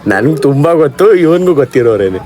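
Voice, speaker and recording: A man's voice: on the high side, lively intonation, fast, noisy background. A man with a Karnataka Kannada accent chatting casually as host of a prank-call vlog.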